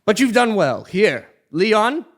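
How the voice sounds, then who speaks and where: A man's voice: high-pitched, very animated, slow, full character voice. A man playing a foolish, cheerful king with pompous, theatrical delivery in a comedic stage play. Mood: gleeful, pompous. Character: foolish, cheerful male king, whimsical and oblivious. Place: theatre stage before live audience. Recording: live theatre stage recording.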